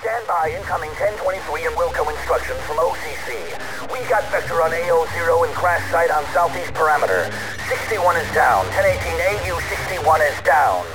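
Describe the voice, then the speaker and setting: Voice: mid-range voice, fairly quick, over music, close to an everyday voice, poor audio. A man playing a tense field unit operator in a sci-fi audio drama, calling out clipped radio jargon.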